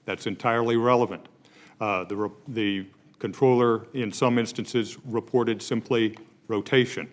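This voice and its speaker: A man testifying formally at a hearing, choosing his words in a measured, careful way. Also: on the low side, steady tone. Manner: measured, careful, precise wording. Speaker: oversight witness at a hearing.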